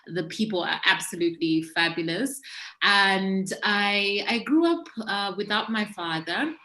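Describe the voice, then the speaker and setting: A woman's voice: medium pitch, a little slow, bright, forceful tone. Candid woman from Zambia, echoey room. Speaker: podcast guest. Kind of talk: conversational storytelling interview.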